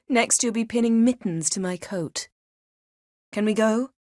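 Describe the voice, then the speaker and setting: A woman playing a proud, sardonic, commanding sorceress in a video game, speaking in dry, measured, formal phrases. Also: fairly high pitch, a little mellow, no room sound. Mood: dry, composed.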